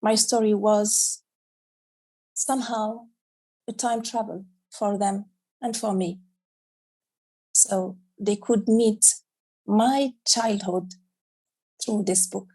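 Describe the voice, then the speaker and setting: A woman's voice: medium pitch, steady tone, slow, long pauses, mellow, poor audio. A middle-aged woman from Morocco, a children's author whose first language is Arabic, answering interview questions over a video call in a hesitant, word-searching way.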